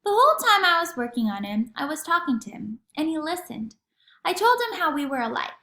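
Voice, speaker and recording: Female children's read-aloud narrator, high voice, expressive storybook swing, roomy recording. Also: a little fast. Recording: echoey room.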